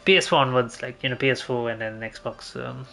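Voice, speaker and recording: A male streamer talks casually over a game in a mid-pitched voice. He rambles, hesitating and circling back on his words, with light game music behind him. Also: brisk, gravelly, bright, forceful tone. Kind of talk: casual gameplay commentary.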